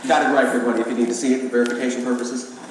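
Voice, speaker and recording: A man's voice: medium pitch, level tone, very fast, close to an everyday voice. A man on stage playing a pompous, showy congressional candidate who styles himself an American patriot, recorded in an echoey hall. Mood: smug, evasive. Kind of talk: live stage play acting. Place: theater stage, audience behind. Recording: echoey hall, distant mic, noisy audience.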